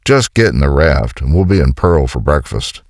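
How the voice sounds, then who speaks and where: Man doing a very deep gruff colonel. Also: big pitch swings, moderate pace, dry recording, studio quality, heavily acted. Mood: sardonic. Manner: gruff, brusque, sardonic. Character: gruff, wisecracking American colonel, hardened jungle commando. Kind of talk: dramatic audio comic dialogue.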